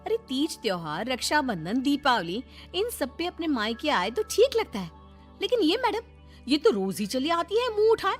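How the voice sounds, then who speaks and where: A woman's voice: high-pitched, very animated, moderate pace, faint music behind. A woman voicing an indignant young wife in a story, complaining in an exasperated, pointed way.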